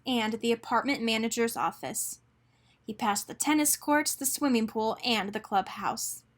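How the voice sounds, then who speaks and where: A woman reading a children's picture book aloud as an expressive narrator. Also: a little high.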